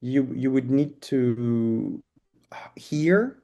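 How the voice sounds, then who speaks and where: A man's voice: mid-range voice, drawn-out words, warm tone. A man with a French accent, answering interview questions in a loose, hesitant way with many restarts. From France. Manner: hesitant, many restarts and fillers while explaining. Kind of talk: casual conversational interview answers.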